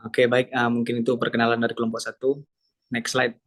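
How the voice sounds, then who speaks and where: A young man from Medan moderating a class group presentation. He talks formally, reads from slides and often pauses on "eh". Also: mid-range voice. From Medan, Indonesia. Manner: formal, filler-heavy, reads slides in an even voice. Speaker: student moderator.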